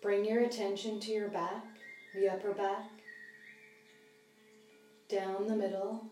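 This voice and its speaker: Woman, meditation guide, gentle and unhurried, very even pitch, roomy echoing recording. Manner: gentle, unhurried instruction with long pauses. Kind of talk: guided body scan meditation. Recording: distant, roomy sound with soft music.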